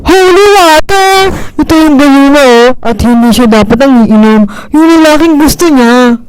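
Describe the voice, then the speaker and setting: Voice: high voice, moderate pace, a little hiss or hum, close to an everyday voice, poor audio. An amateur actor playing a blunt, exasperated male street seller of love potions, with a Filipino accent, in a comedy radio drama.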